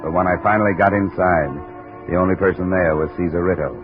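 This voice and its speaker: Guy acting as tough wry 1950s radio detective, deep voice, terse and dry, dull old recording. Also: fairly even intonation, fast, music in the background, natural voice. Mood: terse narration. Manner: terse, dry, hard-boiled. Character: tough, wry male insurance investigator. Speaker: lead actor and narrator of a radio detective series. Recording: muffled vintage 1950 radio recording.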